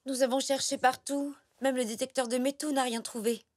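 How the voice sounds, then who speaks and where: A woman playing a gentle, affectionate zebra mother in a children's cartoon. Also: high voice, fairly even intonation, brisk, no room sound. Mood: calm, explaining. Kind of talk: animated cartoon acting.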